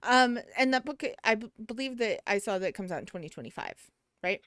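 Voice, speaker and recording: A woman's voice: mid-range voice, lively intonation, brisk, fairly bright tone, no echo, studio quality. A woman hosting a casual podcast interview, enthusiastic and bubbly, with fillers like um. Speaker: podcast host.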